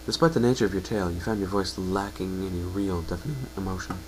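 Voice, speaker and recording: A man's voice: deep voice, fairly even intonation, fast, a little mellow, a little hiss or hum, low-quality recording. A man giving a dramatic, scripted narration as a reader of fan fiction.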